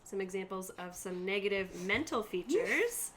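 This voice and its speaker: A woman's voice: mid-range voice, lively intonation, normal speed, a bit bright, a little hiss or hum. A woman game master explaining tabletop rules to players in an informal way and reading out lists of examples.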